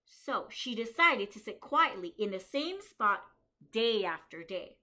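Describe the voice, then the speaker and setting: Teacher lady reading a picture book to little kids, clear bright voice, slows down on the big lines and stops to explain stuff. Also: mid-range voice, sing-song. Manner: pauses the reading to think aloud and explain; slows down and stresses key story lines. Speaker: kindergarten teacher. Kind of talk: picture-book read-aloud for young children.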